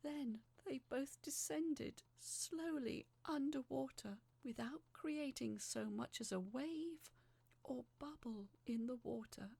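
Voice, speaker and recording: A woman's voice: high voice, slow, vocal fry, warm tone, slightly rough, dry recording. A young woman performing a dramatic first-person horror narration in an emotive, suspenseful way.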